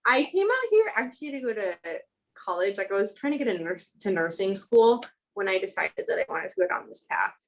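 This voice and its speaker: A woman's voice: medium pitch, very animated, brisk, low-quality recording. Chatty young woman from Chicago. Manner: chatty, many "like" fillers. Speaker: student midwife, guest. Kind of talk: casual interview chat.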